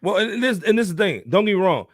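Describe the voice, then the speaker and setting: A man co-hosting a music podcast, talking in a relaxed, slangy way. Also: sits high, very fast, dry recording, studio quality. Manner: relaxed, slangy, drops "man" and "you know". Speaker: podcast co-host. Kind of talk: casual opinion chat.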